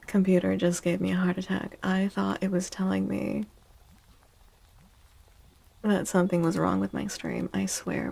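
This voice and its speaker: A woman livestreamer chatting and reading tarot in a soft-spoken ASMR style, playful, teasing and mock-dramatic. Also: mid-range voice, creaky.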